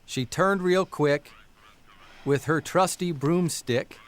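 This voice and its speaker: An actor playing a harried, wry 1930s newspaperman, with a folksy, blustery, halting manner in a period radio drama. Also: fairly high pitch. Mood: amused, jovial.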